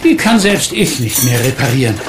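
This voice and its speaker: An actor playing an easygoing, good-natured middle-aged uncle in a radio play. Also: male voice, medium pitch, big pitch swings, normal speed, heavy background noise, natural voice. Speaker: uncle Titus.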